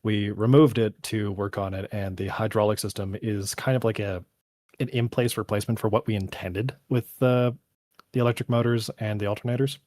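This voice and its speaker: A man's voice: lowish voice, fairly even intonation, a little fast, a little mellow. A man who is a game developer, answering community questions in a casual live Q&A, rambling technically with a voice tired after hours of talking. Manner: rambling, technical, thinking aloud.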